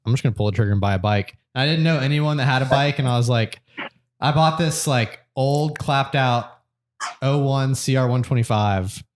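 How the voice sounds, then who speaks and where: A man in his late twenties co-hosts a relaxed podcast. He talks in long, meandering runs full of fillers and restarts, and his voice sits at an ordinary, medium pitch. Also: normal speed. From Georgia, USA. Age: 20s. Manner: rambling, many fillers and restarts. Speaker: podcast co-host. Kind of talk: casual podcast chat.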